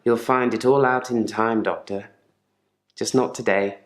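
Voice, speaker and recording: Casting: male actor as controlled, unsettling therapy patient for a live-action game. Deliberate, polite delivery with quiet menace, mid-pitched, echoey room. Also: fairly even intonation, natural voice. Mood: controlled, probing.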